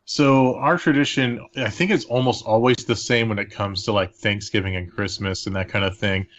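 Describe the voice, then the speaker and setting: A man's voice: lowish voice, steady tone, normal speed, a bit bright. A man hosting a casual podcast chat, recorded with slightly dull, lo-fi audio. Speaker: podcast host.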